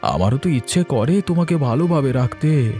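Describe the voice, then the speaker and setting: Man acting poor ailing husband, plaintive, self-blaming, mellow rough-edged voice, cartoon fairy tale, faint music. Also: mid-range voice, expressive, moderate pace, natural voice. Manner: plaintive, weary, apologetic.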